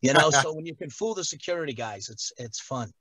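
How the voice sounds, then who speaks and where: A man's voice: fairly high pitch, animated, fairly quick, a bit bright, no echo. A middle-aged man from Connecticut, a guest telling wrestling stories on a video call, rambling with many fillers and restarts. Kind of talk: casual storytelling on a video call.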